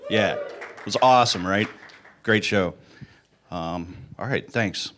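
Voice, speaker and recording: A middle-aged man with a slightly hoarse voice, giving an informal technical conference talk in a casual, self-deprecating way. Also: fairly low pitch, slow pace, fairly bright tone, a little hiss or hum. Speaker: conference speaker, security architect.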